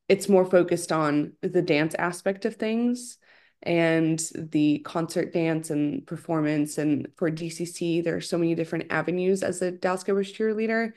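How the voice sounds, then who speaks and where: Young woman from ukraine, kinda low voice, chatty and friendly, telling her story. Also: a little mellow. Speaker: guest, dancer. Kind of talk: casual interview.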